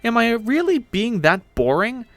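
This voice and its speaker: A man's voice: extremely high pitch, sing-song, measured pace, gravelly, fairly bright tone, no room sound, voice pushed up, full character voice. A man voicing a brash, sarcastic, boastful female pirate ghost in a dramatic story reading. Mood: exasperated, loud. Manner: impatient, sarcastic, swearing.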